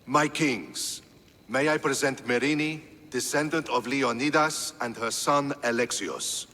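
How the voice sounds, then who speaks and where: A man's voice: medium pitch, measured pace, bright, forceful tone. A man acting a loyal, diplomatic Spartan soldier in a video game, earnest and respectful. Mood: respectful, pleading.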